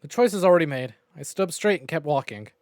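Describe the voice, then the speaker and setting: A male streamer reading a visual novel aloud with dramatic, expressive narration. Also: a little high, quick pace, dry recording, studio quality. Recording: game music playing behind.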